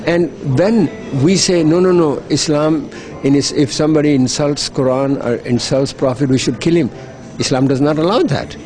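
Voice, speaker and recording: A man's voice: on the high side, moderate pace, a little mellow, faint music behind. Earnest middle-aged man. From Pakistan. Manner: earnest, persuasive, repeats words when restarting. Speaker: interviewee, NGO worker. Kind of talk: earnest interview answers. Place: hotel.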